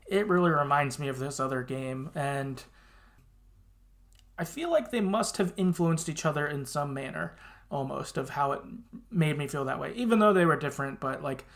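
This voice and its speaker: A man's voice: a little high, pushed and bright. A man co-hosting a podcast, talking casually and reflectively about video games in a conversational way. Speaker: podcast co-host. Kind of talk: casual video game discussion.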